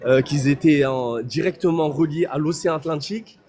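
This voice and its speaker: A man in a heated online debate, talking haltingly, repeating words and insisting on his point. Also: a little high, a little mellow, slight background noise. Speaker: debate participant.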